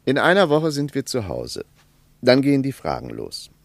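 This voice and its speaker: An actor playing a thoughtful, troubled traveller in a radio play, speaking in a reflective, questioning way. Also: male voice, mid-range voice, wildly swooping pitch, moderate pace, gravelly, no room sound. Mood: pensive.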